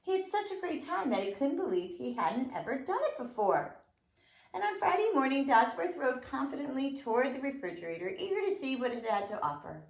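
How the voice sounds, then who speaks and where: A woman's voice: high voice, sing-song, a little fast, creaky. A woman reading a storybook aloud to young children as a teacher, expressively and with dramatic emphasis. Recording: roomy, echoing room sound.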